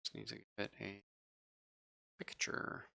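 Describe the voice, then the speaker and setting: A man's voice: takes it slowly, pushed and bright, no echo, studio quality. A man casually running a live tabletop roleplaying game as game master, with slangy, profane narration.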